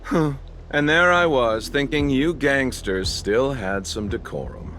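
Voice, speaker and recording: A man's voice: medium pitch, unhurried, background noise, natural voice. A man voicing a suave, mysterious merchant, polite and theatrical with an edge of threat, in a video game. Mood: wry, cautionary. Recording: light game music behind.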